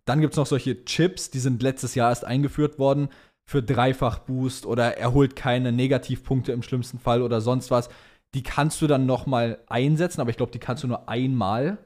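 A man's voice: mid-range voice, steady tone, normal speed, darkish tone. A young man co-hosting a podcast, chatting casually and enthusiastically in colloquial, slangy language like a keen fan. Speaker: podcast co-host. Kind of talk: casual fan chat about motorsport.

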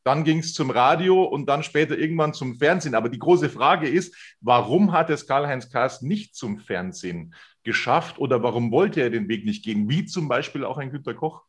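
A middle-aged male host with a medium-pitched voice, chatting easily with his guest on a sports talk evening. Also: animated, moderate pace, breathy edge. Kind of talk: casual interview chat.

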